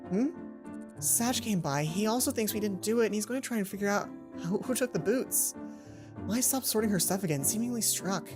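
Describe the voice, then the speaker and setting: A woman hosting a let's play, reading a visual novel aloud and adding chatty, informal, animated asides. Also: medium pitch, fairly quick. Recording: music behind the voice. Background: game music.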